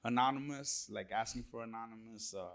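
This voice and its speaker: A man's voice: medium pitch, a little fast, a crowd in the background. A man in his early forties co-hosting a podcast, laid-back with dry comebacks. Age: 40s. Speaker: podcast co-host. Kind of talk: casual podcast banter.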